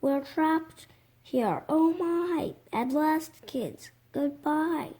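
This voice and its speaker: A six-year-old child voicing a stern, dramatic woman schoolteacher from a storybook, speaking haltingly. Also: high voice, warm tone, voice pushed up. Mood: panicked. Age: young child, six.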